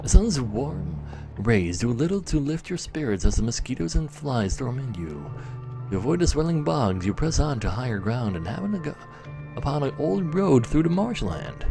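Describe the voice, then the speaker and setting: Male game streamer, lively and expressive, chatty with viewers and narrating story text, music underneath. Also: mid-range voice, normal speed, gravelly. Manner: chatty, talking to live viewers. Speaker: video game livestreamer. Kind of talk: casual livestream commentary mixed with dramatic read-aloud story narration.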